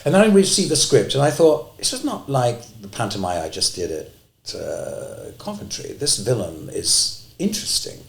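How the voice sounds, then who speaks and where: A man telling a lively anecdote in an interview, acting out the quoted lines. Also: medium pitch, normal speed, echoey room. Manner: lively storyteller, acts out quotes. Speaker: interviewee, panto actor.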